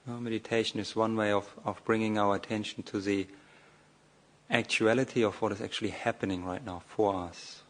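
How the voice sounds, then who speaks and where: Guy with a fairly low voice leading a meditation, talks in short careful bits, pauses a lot, bit hesitant. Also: steady tone. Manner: hesitant, measured, with long pauses between short phrases. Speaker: Buddhist monk leading guided meditation. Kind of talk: guided meditation talk, spoken off the cuff.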